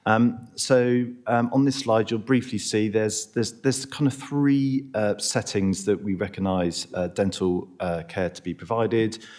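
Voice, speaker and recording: Croaky man with a cold, presenting. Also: on the low side, fairly even intonation, measured pace, hardly any pauses, a little mellow. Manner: apologetic, hesitant, with frequent ums. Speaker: NHS primary care lead presenting to a council committee. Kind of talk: formal presentation to a committee.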